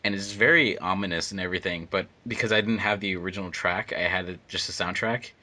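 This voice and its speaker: A man's voice: deep voice, quick pace, bright, forceful tone. A man talking casually on a commentary track as an audio editor.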